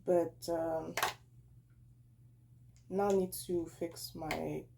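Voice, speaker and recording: A young woman talks slowly and unhurriedly, with a raspy, gravelly voice that sits a bit low. She sounds tired and rambles as she taste-tests snacks at home, correcting herself as she goes. There is noticeable noise behind her. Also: fairly even intonation. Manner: rambling, self-correcting, chatty. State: tired, slightly hoarse. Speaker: snack reviewer vlogger. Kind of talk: casual vlog, taste-testing snacks. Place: at home, indoors.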